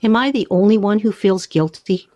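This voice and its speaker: An actress in a radio play playing an earnest, conscientious woman who gently corrects others. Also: medium pitch, normal speed, no echo, lo-fi sound, lightly acted. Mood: earnest, uneasy.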